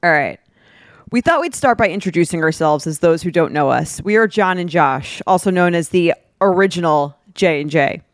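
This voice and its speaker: A woman's voice: on the low side, lively intonation, moderate pace, dry recording, studio quality. A woman co-hosting a podcast, reading a script aloud with some casual banter. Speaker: podcast co-host.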